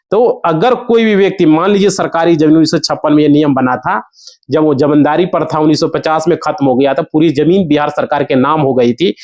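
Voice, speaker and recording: Male online explainer, Bihari accent, slightly high voice, chatty teaching style that keeps checking the listener follows. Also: darkish tone. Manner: conversational, repeatedly checks listeners follow with tag questions. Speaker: online explainer of land law for villagers. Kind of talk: informal explanatory talk to viewers.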